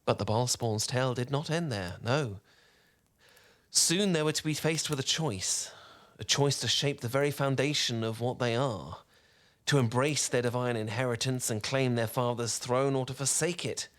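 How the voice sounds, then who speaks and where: A man playing a charming, theatrical wizard who tells a bedtime tale softly and intimately, with dramatic pauses, in an ASMR roleplay style. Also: medium pitch, lightly acted. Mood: grave, theatrical. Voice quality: soft-spoken. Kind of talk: soft-spoken ASMR roleplay storytelling.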